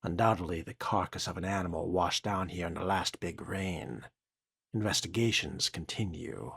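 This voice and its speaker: A man with a low, husky voice narrates a video game in character. He speaks in a measured, formal way with a dry, musing tone, as if thinking aloud or reading from a document. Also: a bit bright, no room sound. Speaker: player role-playing a video game.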